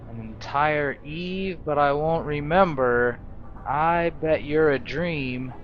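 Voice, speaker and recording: Male gaming host: halting, unhurried role-play narration on a lo-fi mic with noise behind. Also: fairly high pitch. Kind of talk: role-play narration and commentary over gameplay. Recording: dull lo-fi mic, clear background noise.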